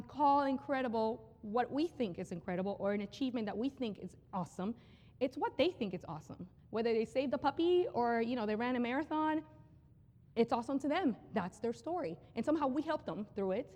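A woman giving a live conference talk on brand storytelling, energetic and conversational, often repeating phrases for emphasis. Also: high voice. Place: large hall with an audience. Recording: long hall reverb.

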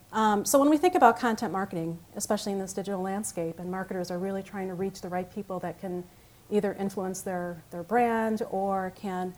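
A woman whose first language is Italian, presenting at a conference in a conversational way, with frequent pauses and restarts. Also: mid-range voice, darkish tone. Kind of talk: conference talk. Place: conference room with audience.

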